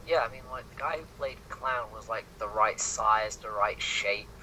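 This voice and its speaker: Male fan commentator, fairly low but sharp-edged voice. Loose, sweary, rambling chat delivery. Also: moderate pace, rough recording. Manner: rambling, full of "like", often swearing. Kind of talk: casual fan chat about comic book films. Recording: noticeable background noise, amateur recording.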